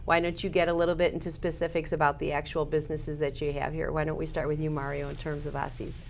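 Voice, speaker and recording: A woman with a notably low voice hosts a local cable interview and leads it with friendly, steady questions. A faint hum of room noise sits behind her. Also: fast, vocal fry. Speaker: host, village trustee.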